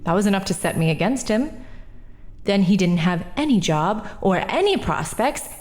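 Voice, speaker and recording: An actress playing a defensive, self-righteous middle-aged woman testifying at an inquest, talking in an indignant, sharp-tongued way. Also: medium pitch, sing-song, moderate pace, natural voice. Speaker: witness at an inquest. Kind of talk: 1940s radio acting.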